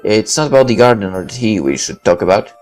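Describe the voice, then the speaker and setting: A man's voice: on the low side, sing-song, fast, a little mellow, faint music behind. A man reading first-person visual novel narration aloud in a dramatic style.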